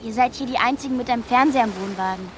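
Woman playing a high-voiced dreamy young girl. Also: racing, a little hiss or hum, close to an everyday voice. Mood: dreamy, earnest. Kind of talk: German radio play acting.

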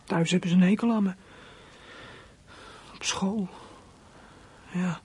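An actor playing a sullen fourteen-year-old runaway boy in a radio play, muttering bitter thoughts to himself in a husky, breathy voice. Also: medium pitch, steady tone, slow pace, dark tone. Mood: weary, bitter. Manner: brooding inner monologue, muttering to himself.

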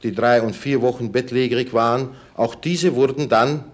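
A sober witness played by an actor testifies in a documentary radio play. His voice is medium in pitch, halting and matter-of-fact. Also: fairly even intonation, close to an everyday voice.

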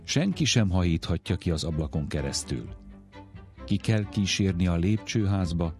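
A man's voice: sits low, animated, a little mellow. A man reading scripted narration as the announcer of a radio programme. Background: music.

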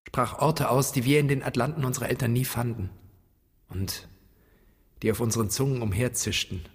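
An actor playing a brooding son alone in his dead father's flat, speaking a poetic radio monologue in terse, intense fragments. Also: mid-range voice, normal speed, husky, in a large hall. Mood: haunted remembering.

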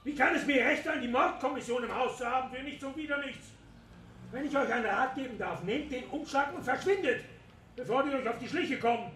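An actor playing a shady, irritable antiques dealer in a radio crime drama, sharp-tongued and evasive, with an agitated manner. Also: male voice, very high voice, brisk. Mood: indignant, threatening. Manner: agitated, indignant. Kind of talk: German radio play acting. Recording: roomy, distant, faint background noise.

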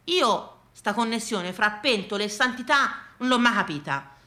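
Female stage storyteller, Tuscan accent, high bright voice, conversational and exclamatory, recorded in a roomy echo. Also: normal speed. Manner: animated, exclamatory, conversational, repeats herself. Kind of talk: theatrical comic monologue in colloquial speech. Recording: roomy, echoing live sound.

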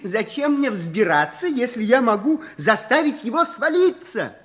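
A young eccentric genius in a vintage Soviet radio drama. His voice sits high and moves in a lively way. He is calmly stubborn, pedantic and absent-minded, humming as he hesitates. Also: normal speed, exaggerated voice. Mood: quietly confident. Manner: pedantic, matter-of-fact, hesitating with little hums. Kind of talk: Soviet 1960s radio play acting.

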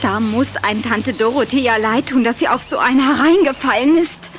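Woman playing a coquettish, high-voiced young vampire girl. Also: fairly quick, soft background music, lightly acted. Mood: dramatic pity.

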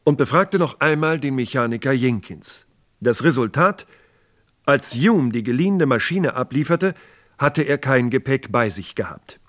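A man narrating a scripted German radio crime drama in a measured, factual manner. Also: medium pitch.

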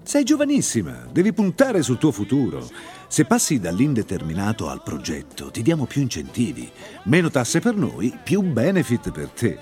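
A man's voice: medium pitch, huge pitch swings, moderate pace, darkish tone, faint music behind. A man playing a pushy, persuasive company manager in a radio drama, talking like a patronising salesman. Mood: slick, enticing. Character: slick, pushy male company manager selling flexibility. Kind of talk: theatrical radio drama dialogue.

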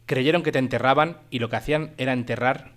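A man's voice: mid-range voice, quick pace. A man with a Spanish accent from Spain hosting a radio show, chatting informally and drifting into asides as he presents music. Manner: informal, digressive. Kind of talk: casual chat, presenting music.

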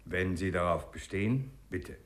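A genial, self-assured physician in a 1950s radio play. His voice sits on the low side. He questions with polished courtesy and a touch of pomposity. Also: normal speed, fairly bright tone. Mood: admiring, obliging. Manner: courteous, probing, slightly pompous. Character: urbane, inquisitive male doctor, genial and self-assured.

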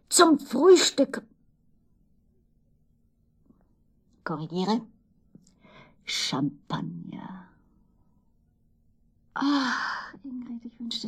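An actress playing a giddy, infatuated, lonely woman in a radio drama, speaking intimately and haltingly in a breathy voice that often sinks to a whisper. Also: medium pitch, exaggerated voice. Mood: giddy, dreamy. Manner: halting, emotional, swinging between murmur and outburst.

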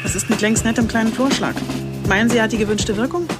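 Female police boss, played by an actress, radio play: brusque, sarcastic, commanding, higher-pitched, over a clear music bed. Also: quick pace, close to an everyday voice. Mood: sardonic. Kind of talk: radio drama acting.

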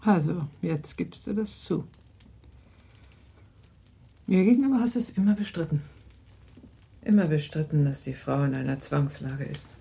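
A woman playing a middle-aged, reproachful mother and wife in a German radio play, husky, creaky and pointed. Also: sits low, normal speed, long pauses, dark tone, heavily acted. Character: older mother, reproachful wife worried for her daughter.

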